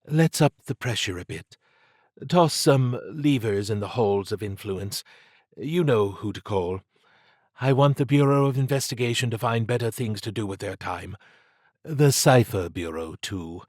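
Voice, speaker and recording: A man's voice: on the low side, animated, unhurried, darkish tone, no echo, clean studio sound. A man voicing an authoritative, wry woman who commands a secret organisation, in audiobook dialogue. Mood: brisk, commanding. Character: brisk, resolute woman commander of a secret foundation.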